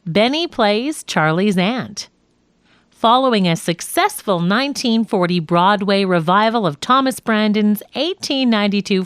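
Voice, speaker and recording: A woman narrating a nonfiction audiobook in a measured, expressive reading voice. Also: mid-range voice, no room sound, clean studio sound.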